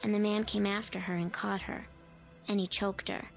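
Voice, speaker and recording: A woman's voice: mid-range voice, little pitch movement, normal speed, gravelly, faint music behind, natural voice. A female performer playing an eerie, knowing little girl who probes insistently, in a television horror drama. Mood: haunting recollection. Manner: insistent, probing.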